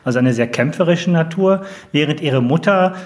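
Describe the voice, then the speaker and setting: A man's voice: on the high side, normal speed. A mature man from West Germany asking serious, considered questions as an interviewer.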